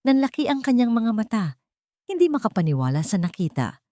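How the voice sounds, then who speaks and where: A woman's voice: fairly high pitch, big pitch swings, brisk, dark tone, no room sound, clean studio sound. A woman giving a dramatic scripted narration of an audio drama.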